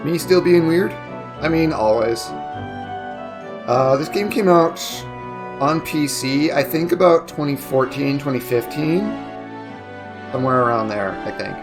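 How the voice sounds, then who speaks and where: A young man with a raspy, sniffly voice, chatting casually to his viewers while streaming a video game. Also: fairly high pitch, animated, measured pace, pauses often. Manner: chatty, reading chat aloud. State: sniffly, coughing. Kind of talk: casual chat while gaming. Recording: game music behind the voice. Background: video game music.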